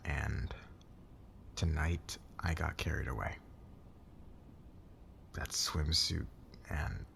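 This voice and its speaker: A man playing a demanding, defensive boss with a hot temper and a hidden crush, halting and intense, in an ASMR romance roleplay. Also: low-pitched, creaky, slight background noise, full character voice. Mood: flustered, hesitant.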